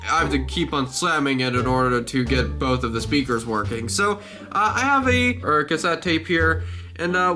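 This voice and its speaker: A man's voice: high-pitched, sharp tone. A man talking casually and hesitantly in a rambling, informal vlog while demonstrating something. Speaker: video creator.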